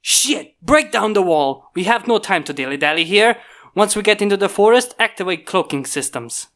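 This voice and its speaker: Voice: medium pitch, expressive, normal speed, fairly bright tone, lightly acted. A reader voicing a commanding human male inventor who gives stern, formal orders to robot bodyguards in a story. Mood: urgent.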